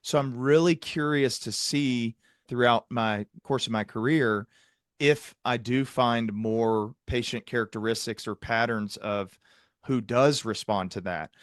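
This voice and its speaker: A man's voice: mid-range voice, unhurried, no room sound, studio quality. A man hosting a conversational clinical discussion, speaking earnestly and repeating words for emphasis. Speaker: podcast host, psychiatrist.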